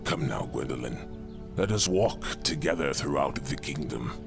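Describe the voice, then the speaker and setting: An older man playing a doting, gentle king and father in a fantasy audio drama, with gravelly, courtly, formal speech. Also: extremely low pitch, lively intonation, unhurried, heavily acted. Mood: gentle, indulgent. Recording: music under the voice.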